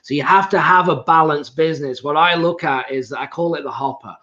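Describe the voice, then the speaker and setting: A man's voice: fairly high pitch, brisk, pushed and bright. Explaining man, dull lo-fi audio. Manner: explanatory, tags points with "right?". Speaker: co-host real estate investor. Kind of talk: casual live panel chat. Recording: dull lo-fi sound.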